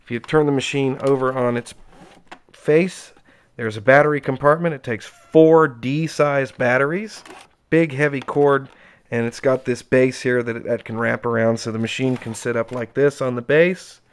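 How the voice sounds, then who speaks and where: A man's voice: medium pitch, steady tone, moderate pace, smooth. Chatty man demonstrating gear. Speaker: presenter demonstrating equipment. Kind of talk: casual unscripted product demonstration. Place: indoors at home, close-up tabletop demonstration.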